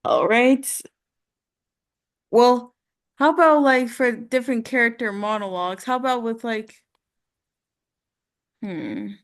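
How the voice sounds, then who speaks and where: A woman's voice: a little high, expressive, takes it slowly, pauses often. A woman student chatting casually and hesitantly, thinking aloud as she goes. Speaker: voice acting student. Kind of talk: casual chat.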